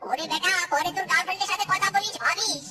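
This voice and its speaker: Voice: extremely high pitch, very fast, distant mic, loud music behind the voice. An actor voicing an exasperated, crude elderly cartoon father who nags and scolds. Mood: exasperated, desperate. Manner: nagging, scolding. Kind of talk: cartoon voice acting.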